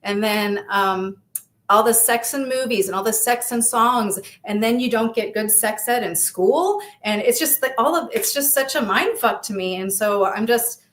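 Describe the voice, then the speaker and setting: A woman's voice: on the high side, normal speed. A woman in her fifties with an American accent, speaking frankly and with energy as an interview guest, swearing freely. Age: 50s. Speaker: guest, sex-positive activist. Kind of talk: candid interview talk.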